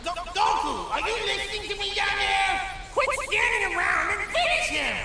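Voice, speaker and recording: A man playing an exasperated, urgent alien mentor in an anime game, shouting in a shrill, strained voice that echoes. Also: lively intonation, normal speed. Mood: exasperated alarm. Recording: echoing, distant, faint ambient noise.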